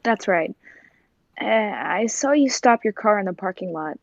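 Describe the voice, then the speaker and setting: An actress playing a sly, scheming circus tiger tamer in a 1940s-style radio play, speaking in a pointed, probing way. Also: female voice, mid-range voice, lively intonation, a little slow, gravelly, no room sound, highs cut off. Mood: guarded.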